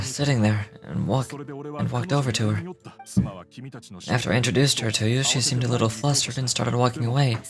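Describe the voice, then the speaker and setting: A man's voice: medium pitch, lightly acted. Man acting troubled, earnest dreamer, music behind. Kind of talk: video game dialogue acting.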